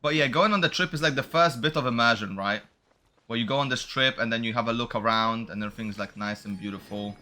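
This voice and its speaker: Bright-voiced man giving casual game commentary. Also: medium pitch, fast. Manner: musing, thinking aloud. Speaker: streamer playing a video game.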